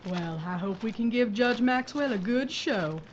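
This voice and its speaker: A woman's voice: fairly high pitch, expressive, moderate pace, lo-fi sound, a little hiss or hum, lightly acted. A woman playing a blunt sheriff. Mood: steely. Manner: terse, dry, gritty. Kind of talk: audio drama acting.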